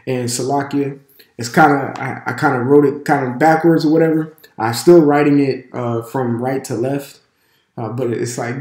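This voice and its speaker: A man teaches a casual video lesson with a cold-stuffed nose. He rambles loosely, full of fillers and restarts, at an ordinary middle pitch. His voice rings around a roomy, echoing space. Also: a little mellow. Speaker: religious language teacher. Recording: echoey room.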